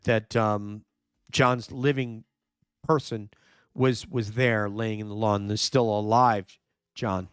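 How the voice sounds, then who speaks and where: A hoarse man, nearly losing his voice, hosting a true crime livestream, talking emphatically and answering viewer chat. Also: medium pitch, dry recording, studio quality. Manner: emphatic, repeats "no question", thinks aloud with fillers. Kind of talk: live commentary reading viewer chat.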